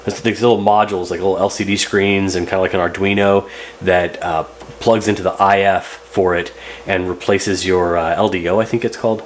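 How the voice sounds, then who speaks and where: A man's voice: sits low, slight background noise. A man hosting a repair video, narrating casually and thinking aloud as he works in a loose, rambling way. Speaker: host of a radio repair channel.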